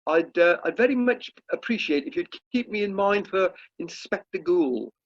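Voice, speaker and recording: Male actor as British society chairman: high-pitched, self-important, fussy and halting; amateur radio play, dull lo-fi call audio. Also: animated. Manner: stammering, pompous, fussy. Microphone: video call. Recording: muffled lo-fi video call audio.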